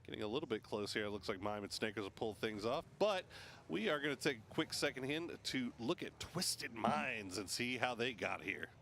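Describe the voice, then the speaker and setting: A man's voice: mid-range voice, animated, a little fast, fairly bright tone, slight background noise. A man giving live video game tournament commentary and bantering with a co-caster. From North America. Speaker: esports commentator.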